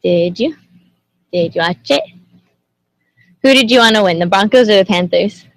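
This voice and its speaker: A woman's voice: mid-range voice, normal speed, dry recording, poor audio. A young woman who grew up in Indonesia, hosting a casual livestream and chatting with viewers, greeting them and asking lots of questions. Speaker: livestream host.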